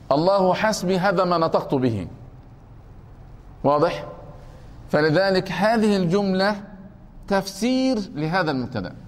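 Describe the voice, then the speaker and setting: A man teaching a grammar class, explaining slowly and repeating points, often stopping to ask whether it is clear. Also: high voice. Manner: deliberate, repeats points and keeps asking if it is clear. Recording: long reverb of a hall.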